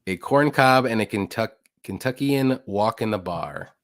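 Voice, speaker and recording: A man in his late thirties hosting a casual live stream, chatty and quick to greet viewers by name. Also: lowish voice, expressive. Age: 30s. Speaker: host of a betting picks show.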